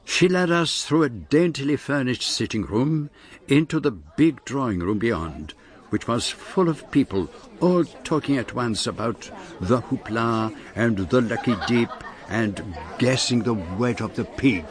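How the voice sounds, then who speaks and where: An actor playing a courteous, precise, dryly understated gentleman detective with a Belgian French accent in a radio drama. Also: medium pitch, expressive, unhurried, lightly acted. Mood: measured, observant. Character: courteous, observant middle-aged foreign gentleman detective. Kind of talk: radio drama acting and narration.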